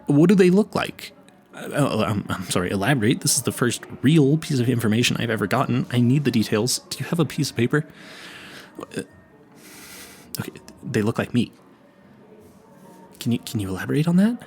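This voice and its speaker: A man's voice: medium pitch, lively intonation, a little fast, pauses often, a little mellow, soft background music, natural voice. A man playing a clueless, earnest vampire hunter in an audio roleplay, stammering and rambling in a politely formal, pleading way. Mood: earnest, nervous. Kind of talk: intimate audio roleplay addressed to the listener.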